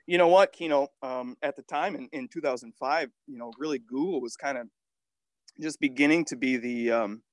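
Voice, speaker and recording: A man around thirty, a podcast guest telling stories in an animated, casual way with the odd swear. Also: mid-range voice, normal speed, no echo. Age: 30s. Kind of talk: casual interview storytelling.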